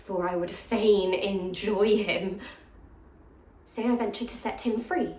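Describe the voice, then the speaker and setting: A woman's voice: mid-range voice, steady tone, slow pace, a little mellow, close to an everyday voice. A woman performing a classical stage monologue as a lovestruck, impulsive young jailer's daughter, breathless and exclaiming. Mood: resolved. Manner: emotional, exclaiming, with gasps and sighs. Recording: echoey room.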